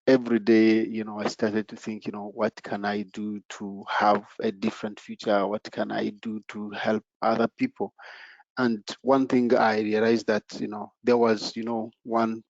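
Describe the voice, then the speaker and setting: A man with a low, even voice that barely rises or falls, speaking over a dull, muffled video call. The sound is dry and close, with no room around it. He talks in halting bursts, leaning on "uh" and "you know" between phrases. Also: gravelly, dry recording. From Democratic Republic of the Congo, raised in Uganda. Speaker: panelist. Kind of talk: personal story on an online panel.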